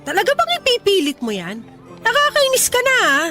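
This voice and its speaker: A prickly, tomboyish young woman in a radio drama, firing off curt and scornful comebacks. Her voice sits high, with faint music running underneath. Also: wildly swooping pitch, brisk, bright, forceful tone, heavily acted. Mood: annoyed.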